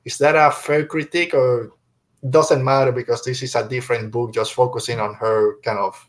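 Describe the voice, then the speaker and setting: A man speaks as a podcast host in an easy conversational style. His pitch is average, and he talks through his thoughts informally, like someone chatting with guests. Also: fairly even intonation, normal speed. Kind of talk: casual podcast book discussion.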